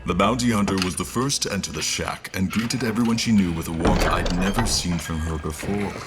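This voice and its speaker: Fantasy audio drama narrator: male actor as a reflective historian, very low voice, literary and measured, music underneath. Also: close to an everyday voice. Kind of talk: scripted first-person story narration.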